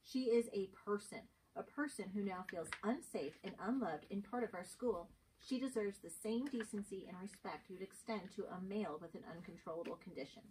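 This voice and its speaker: A woman's voice: mid-range voice, brisk, few pauses, darkish tone, natural voice. A woman reading the part of an indignant, caring female schoolteacher in an amateur script reading, recorded at a distance. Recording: distant mic, faint room noise.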